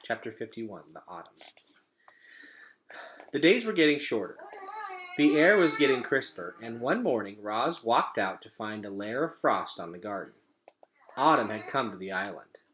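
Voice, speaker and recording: A man reading a children's storybook aloud to pupils in an expressive, deliberate storytelling manner. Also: mid-range voice, slight background noise.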